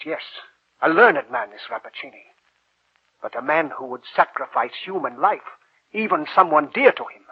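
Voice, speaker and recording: A learned older professor in a 1940s radio play, avuncular yet darkly cautioning. The voice is husky and slightly raised, with deliberate, theatrical emphasis. It comes through thin and tinny, like a telephone line. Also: male voice, fairly high pitch. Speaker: actor in a radio drama.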